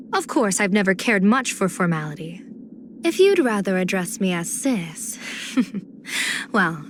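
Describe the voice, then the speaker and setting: A woman's voice: mid-range voice, slight background noise, close to an everyday voice. A woman voicing a playful, confident general and diviner in a video game, with poised, theatrical, teasing phrasing. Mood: playful, musing. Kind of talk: video game character voice lines.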